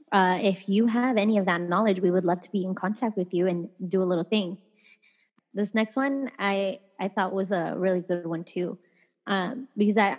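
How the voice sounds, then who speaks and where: Giggly young woman, chatty podcast co-host. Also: lowish voice. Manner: giggly, chatty, many fillers. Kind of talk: casual chat reading listener messages. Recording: dull lo-fi audio with long reverb.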